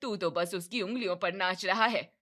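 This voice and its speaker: A woman's voice: low-pitched, moderate pace, voice pushed down. A woman putting on a scolding, domineering mother-in-law. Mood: angry scolding. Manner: scolding, loud and indignant. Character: domineering middle-aged mother-in-law, demanding.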